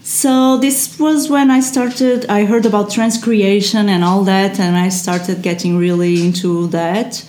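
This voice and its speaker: A woman's voice: medium pitch, fairly even intonation, a little slow, hardly any pauses, a little mellow, a little hiss or hum. A woman, chatting casually as a podcast guest, with many fillers and small laughs. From Portugal. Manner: chatty, frequent fillers and restarts, laughs often. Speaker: guest, SEO translator. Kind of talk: casual interview chat. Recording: echoey room.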